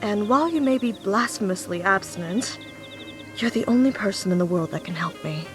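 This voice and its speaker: Female actor as solemn ancient woodland deity for a visual novel; formal, grieving, measured delivery, music underneath. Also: mid-range voice, lively intonation, lightly acted. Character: sorrowful ancient goddess of bloodthirsty dark woods. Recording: background music.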